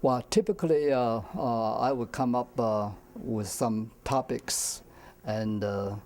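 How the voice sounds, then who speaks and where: An older man, speaking haltingly with repeated words and grammar in an interview. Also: mid-range voice, a little mellow. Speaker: senior center discussion group leader. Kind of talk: casual interview answers.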